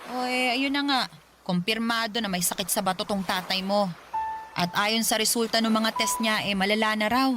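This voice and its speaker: A woman's voice: a little high, normal speed, over music, close to an everyday voice. An actress playing a stressed, middle-aged mother who scolds her daughter. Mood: worried. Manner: scolding, exasperated.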